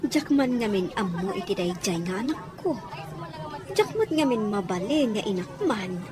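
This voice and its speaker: A woman's voice: medium pitch, very animated, normal speed, natural voice. A woman playing an ashamed, apologetic mother in an audio drama. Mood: ashamed, subdued. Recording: noisy, with crowd ambience behind.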